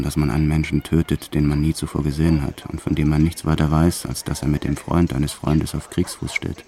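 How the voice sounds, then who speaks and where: A very deep, gravelly gangster. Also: male voice, dark tone. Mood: cold, matter-of-fact. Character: brutal, cold, proud male French gangster telling his life. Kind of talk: first-person radio play narration.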